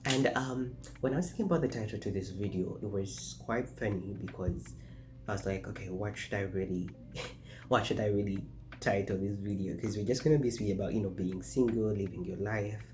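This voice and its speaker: Laid-back young man, mellow low voice. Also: a little fast, slight background noise. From Uganda. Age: 20s. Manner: rambling, chatty, frequent fillers and restarts. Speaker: vlogger. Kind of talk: casual talking-head vlog.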